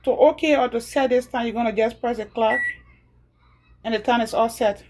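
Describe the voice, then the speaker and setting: Liberian woman, home video creator, somewhat high voice, casual and repetitive step-by-step delivery. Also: fairly even intonation, darkish tone, slight background noise, poor audio. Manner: chatty, repeats steps slowly.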